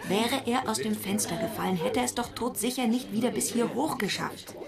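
An actress playing a sceptical, sharp-tongued woman in a crime radio drama, blunt and sarcastic. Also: on the high side, a little fast, gravelly. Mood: sceptical, mocking. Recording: loud music under the voice.

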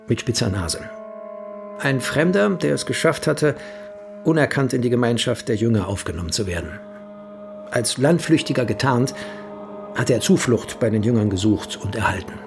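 Actor as a creaky, highly animated scholar. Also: male voice. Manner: formal, bookish, theatrical. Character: excited, formal, obsessive male archive researcher. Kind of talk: dramatic letter narration in radio drama.

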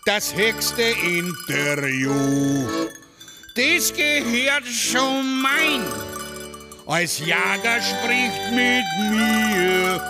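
A folksy aging poet, singing and fawning in a lively sing-song. His voice sits high and swoops all over, slow and drawn out. Loud music plays behind him in this radio play. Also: exaggerated voice. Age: older.

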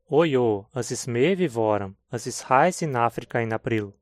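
A man from southern Brazil with a Hunsrik German accent, reading a scripted textbook dialogue in a slow, clear teaching manner. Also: medium pitch, expressive, a little mellow, no echo, clean studio sound. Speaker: language course reader.

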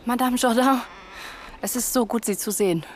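A woman's voice: fairly high pitch, moderate pace, noisy background, natural voice. Actress as resolute young woman secret agent. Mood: pleading. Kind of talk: radio drama acting.

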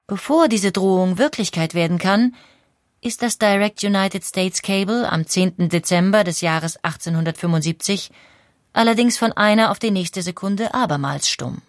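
Calm woman narrating a documentary. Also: mid-range voice, normal speed, dry recording, clean studio sound.

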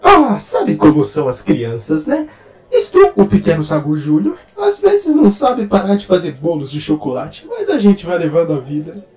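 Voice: medium pitch, huge pitch swings, moderate pace, a little mellow, faint music behind. An actor playing a chatty, homely, fussing host in an audio drama. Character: chatty, homely alien host who loves pudding.

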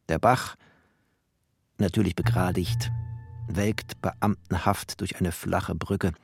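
Low, creaky male narrator. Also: darkish tone. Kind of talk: literary audio drama narration.

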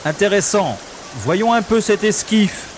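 A man's voice: high voice, lively intonation. A man playing a sardonic special agent on the run in a French audio drama, talking half to himself. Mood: resigned, resolute. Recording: noisy, with rushing water effects.

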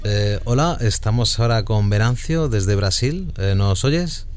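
A man's voice: fairly low pitch, unhurried, over music. A man co-hosting a casual radio interview, hesitant and full of fillers as he introduces a guest. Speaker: radio co-host.

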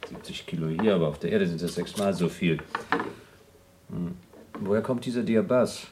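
An earnest, fussy astronaut acted by a man speaks in radio-play style. The voice is deep and pedantic, with clearly audible noise in the recording. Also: normal speed, close to an everyday voice. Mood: fussy, businesslike. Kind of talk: radio drama acting.

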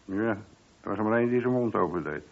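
Low, husky middle-aged police sergeant. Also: male voice, lively intonation, quick pace. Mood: chatty, reporting. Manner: talkative, colloquial, deferential. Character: dutiful, chatty older police sergeant, deferential but opinionated. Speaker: police sergeant in a detective radio play. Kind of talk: radio drama acting. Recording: dull, lo-fi old radio recording.

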